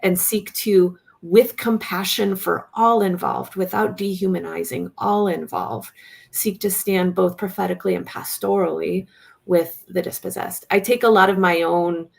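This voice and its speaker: A woman speaking as a panel guest in an earnest, halting way, often pausing to search for words. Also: lowish voice, fairly even intonation, creaky. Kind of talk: reflective panel discussion.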